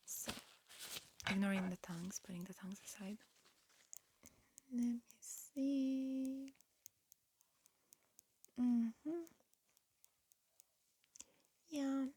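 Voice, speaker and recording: Slow whispering woman, ASMR roleplay. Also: fairly high pitch, no echo. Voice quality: breathy, mostly whispered. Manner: gentle, unhurried, murmured clinical patter with pauses. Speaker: ASMR roleplay performer playing an alien medical examiner.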